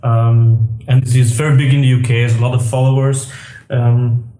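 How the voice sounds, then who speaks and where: A man in his thirties with a Belgian accent answering interview questions, hesitant with many pauses. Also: mid-range voice, level tone, warm tone. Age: 30s. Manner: hesitant, many "um"s, laughs lightly. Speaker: guest, tech co-founder. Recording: echoey room.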